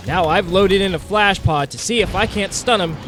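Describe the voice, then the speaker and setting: A man's voice: sits high, moderate pace, noisy background. A man narrating a video game hunt as a dramatic, roleplayed story with playful, wry asides, like a scripted storyteller. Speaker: gameplay narrator. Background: music.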